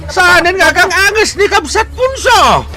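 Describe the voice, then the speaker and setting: A man voicing a panicky, wailing villager in an exaggerated Ilocano comedy radio drama, shrill and shouting. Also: lively intonation, moderate pace, music in the background, a crowd in the background. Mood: panicked.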